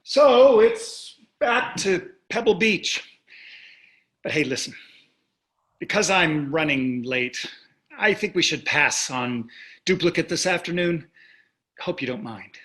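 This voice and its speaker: A man's voice: a little high, expressive, takes it slowly, pauses often, a bit bright. A man acting a wealthy, breezy, self-satisfied husband in a play reading, with a chatty delivery. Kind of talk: staged play reading over video call.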